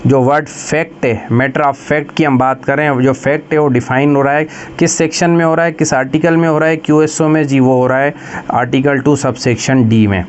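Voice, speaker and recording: Pakistani guy teaching exam questions, mid-pitched voice, bit of noise behind him. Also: steady tone. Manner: switches between Urdu explanation and slow, deliberate English reading. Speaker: lecturer for law exam preparation. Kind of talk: explanatory lecture, reading out quiz questions and explaining them.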